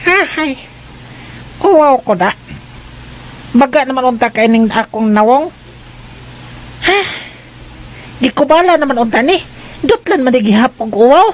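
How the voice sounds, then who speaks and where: A slow-paced, high-pitched radio drama sidekick, jokey and deferential. The character stammers and doubles up words, teasing one moment and backing down the next. Faint noise sits behind the voice. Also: female voice, lively intonation, low-quality recording. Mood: sheepish.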